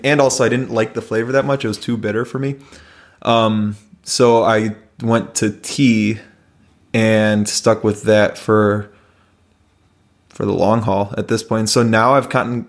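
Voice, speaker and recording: A young man chatting casually, rambling with lots of fillers. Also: fairly low pitch. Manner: relaxed, rambling with many fillers. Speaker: conversation partner. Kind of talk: casual chat.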